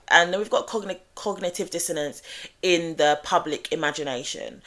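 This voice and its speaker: A woman hosting a true crime show, talking in a measured, analytical way with small restarts, her voice still slightly groggy after being ill. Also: low voice, a bit bright. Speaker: true crime channel host. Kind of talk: true crime explainer monologue.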